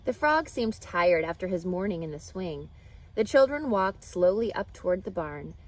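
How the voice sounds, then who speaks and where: A woman reading a children's book aloud with expressive, animated storytelling. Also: on the low side, moderate pace, low-quality recording. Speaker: reader.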